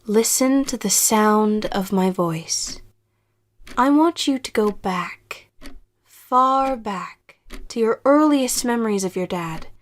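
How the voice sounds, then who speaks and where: A woman acting a patient, probing therapist who speaks in a measured, formal way in an audio drama. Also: mid-range voice, lively intonation. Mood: calm, coaxing.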